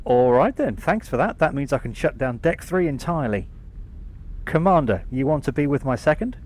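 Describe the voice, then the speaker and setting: Casting: actor as blunt, matey male engineer, mid-pitched, sci-fi radio play, faint ambience behind. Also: lively intonation, moderate pace, a little mellow, lightly acted. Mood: brisk, matter-of-fact. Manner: informal, matter-of-fact. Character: brisk, friendly, blunt male starship engineer lieutenant. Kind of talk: science fiction fan audio drama acting. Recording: background noise, room ambience.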